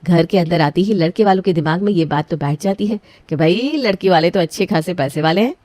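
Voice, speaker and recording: A woman's voice: lowish voice, brisk, mellow, no room sound. A woman reading a scripted story as its narrator.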